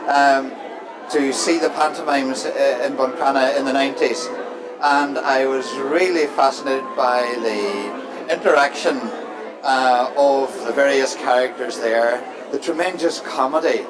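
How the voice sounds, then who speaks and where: A man's voice: medium pitch, steady tone, measured pace, low-quality recording. Man reminiscing, music playing behind him. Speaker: interviewee at a community pantomime. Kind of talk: casual reflective interview talk.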